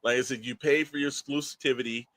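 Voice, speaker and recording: Male podcast co-host, mid-pitched with a bright edge, casual promo chat, very dry recording. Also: fairly even intonation, fast talker, dry recording.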